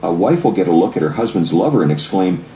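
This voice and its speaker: A man reading scripted audiobook narration in a measured, articulate, explanatory way. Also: low-pitched, animated, mellow, reverberant, a little hiss or hum, rough recording.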